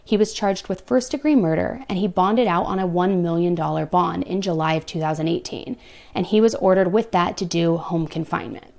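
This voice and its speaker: A woman's voice: lowish voice, a little fast, creaky, a little mellow. A young woman hosting a true crime show, recounting a case and breaking off into blunt, exasperated asides to her viewers. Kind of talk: true crime storytelling with commentary.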